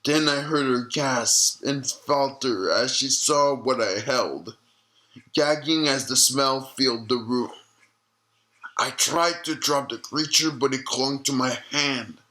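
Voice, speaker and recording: An actor playing an elderly doctor recalling a grim memory in slow, deliberate testimony for an audio-log drama. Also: male voice, mid-range voice, sharp tone, poor audio. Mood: haunted, grave. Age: older. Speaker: retired obstetrician being interviewed.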